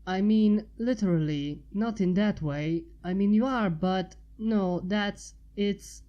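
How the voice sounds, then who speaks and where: A woman's voice: medium pitch, takes it slowly, mellow, highs cut off, lightly acted. A woman voicing a flustered, bashful female unicorn who mumbles and stammers in a story reading. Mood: stammering, embarrassed.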